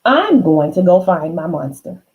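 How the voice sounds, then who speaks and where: A woman's voice: lowish voice, sing-song, unhurried, a little mellow, poor audio. Woman voicing a determined, insistent little storybook girl. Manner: insistent, emphatic. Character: stubborn, determined little girl searching for her monster.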